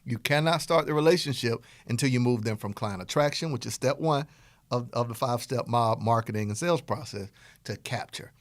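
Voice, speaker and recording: A man's voice: medium pitch, a little fast, no room sound. A man with a Southern US accent, hosting a podcast and casually teaching business strategy with playful acted-out dialogue. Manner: animated, playful, acts out dialogue. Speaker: podcast host, business coach.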